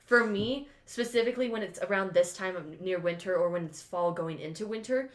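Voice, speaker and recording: A woman's voice: lowish voice, roomy sound. A teenage girl guest in casual podcast chat, eager and sniffling from allergies, often talking over the others. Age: teens. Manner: fast, excitable, talks over others.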